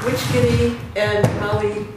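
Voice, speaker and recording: Woman interviewer, mid-pitched, casual and curious, recorded in a reverberant room. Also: level tone, a little slow, distant mic. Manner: curious, questioning. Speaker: interviewer, drum student. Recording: echoey room with some noise.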